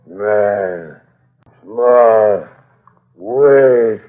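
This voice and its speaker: Gorilla: brooding, hateful, very slow halting growl, 1940s radio horror, dull vintage sound. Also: male voice, lowish voice. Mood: dazed, groping. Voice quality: gravelly, growling. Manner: halting, broken primitive speech. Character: primal male gorilla, slow-witted, brooding, full of hatred. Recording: muffled old radio recording.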